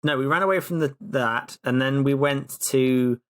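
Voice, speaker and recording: A man of average pitch talks casually on a tabletop gaming podcast. He phrases things playfully and theatrically, in the manner of a player recounting an adventure to friends. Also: normal speed. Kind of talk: casual chat and recapping.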